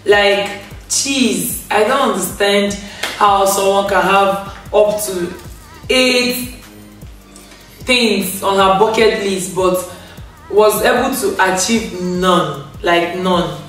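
A young woman talking casually to camera in a living room, chatty and expressive, laughing often, recovering from losing her voice. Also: medium pitch, slow pace. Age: young adult. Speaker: vlogger. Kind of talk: casual storytime rant to camera. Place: indoor living room, on a grey sofa. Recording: echoey room, a bit far from the mic, faint music.

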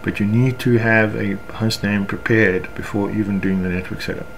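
A South African man with a fairly low voice gives an unscripted software tutorial. He explains each step haltingly as he goes. Faint noise and room ambience sit behind his voice. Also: steady tone, creaky. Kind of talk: unscripted screencast walkthrough.

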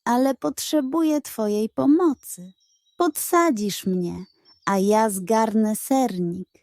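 A woman's voice: fairly high pitch, takes it slowly, darkish tone, no echo. A woman voicing a sly, greedy little male pug in a children's bedtime story, cooing and coaxing with dramatic flair. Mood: eager. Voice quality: cooing, wheedling. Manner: dramatic, coaxing delivery with diminutives.

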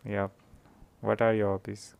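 A man's voice: fairly low pitch, completely flat delivery, a little slow, creaky, darkish tone. A young man from India with an Indian accent, chatting casually with strangers over video from his bedroom on a headset mic, laid-back and chuckling. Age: young adult. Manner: laid-back, chuckling, mixes Hindi and English. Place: small bedroom. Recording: noisy home recording.

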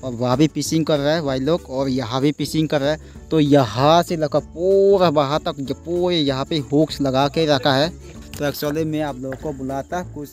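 Higher-voiced young man with a northeast Indian accent. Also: a little mellow. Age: young adult. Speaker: village fishing vlogger. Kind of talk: casual vlog commentary to viewers. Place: outdoors on a small wooden boat on a river. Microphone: phone at arm's length.

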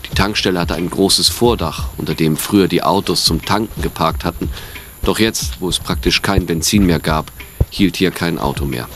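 Male narrator, audio drama, very low register, faint noise. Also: moderate pace, fairly bright tone. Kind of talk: scripted narration. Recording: clearly noisy recording.

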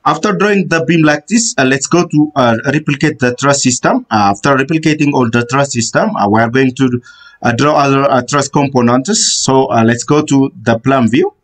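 Halting man giving software steps. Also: mid-range voice. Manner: halting, frequent "uh" fillers, step-by-step instructions. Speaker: software tutorial instructor. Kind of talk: screen-recorded software tutorial. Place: voice-over on screen recording.

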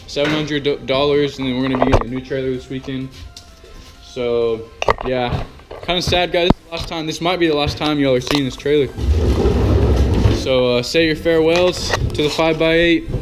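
Young man, upbeat lawn-care vlogger talking to a handheld camera, music bed behind. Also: a little high. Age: young adult. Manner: chatty and upbeat, many fillers and "guys". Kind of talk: casual vlog talk to camera. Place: outdoors by a house and garage, earlier in a truck. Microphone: action camera held close.

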